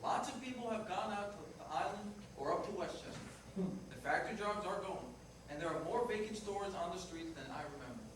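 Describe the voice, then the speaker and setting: A frank, remorseful working-class ex-fiancé, acted by a man in a stage play, plain-spoken and rather high in pitch. The sound is distant, with heavy room echo. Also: animated, a little fast. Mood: matter-of-fact. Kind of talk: staged reader's theater dialogue. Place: indoor performance room, distant microphone. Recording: echoey noisy room recorded from far off.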